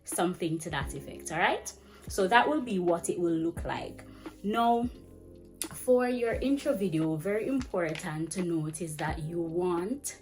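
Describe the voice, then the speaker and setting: A young woman giving chatty, fast-paced teaching advice to camera in a small indoor room. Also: fairly low pitch. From Jamaica. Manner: chatty, fast-talking, animated with hand gestures. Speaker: vlogger and ESL teacher. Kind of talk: casual advice vlog. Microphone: camera framing head to chest.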